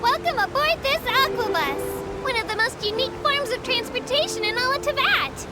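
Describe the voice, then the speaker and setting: A little childlike fantasy creature with an extremely high voice, working as a tour guide in a video game. The delivery is chirpy, polite and earnest, presented like announcements to passengers, with prominent music playing underneath. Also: female voice, expressive, normal speed. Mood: bright, helpful.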